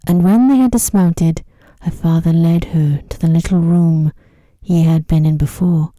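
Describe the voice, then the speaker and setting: Very soft, dark-voiced woman reading bedtime stories. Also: fairly low pitch, fairly even intonation, no room sound. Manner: gentle, hushed, unhurried. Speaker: ASMR storyteller. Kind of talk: soft-spoken ASMR bedtime story reading.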